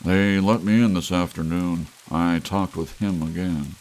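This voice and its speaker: A man's voice: low-pitched, fairly even intonation, measured pace, a little mellow, low-quality recording. A man voicing an anxious, shaken lawyer while narrating an audiobook. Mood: uneasy. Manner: anxious, pleading. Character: nervous, shaken male lawyer, adult.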